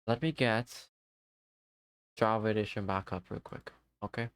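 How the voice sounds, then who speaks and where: A man's voice: lowish voice, fairly even intonation, measured pace, clear, resonant tone, no room sound. A man streaming a game live, chatting casually with viewers and talking to himself as he plays. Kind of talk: casual live-stream chat while gaming.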